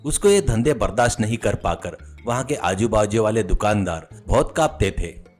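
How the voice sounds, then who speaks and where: A man's voice: lowish voice, expressive, normal speed. A man narrating a scripted comic moral story as its storyteller. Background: music.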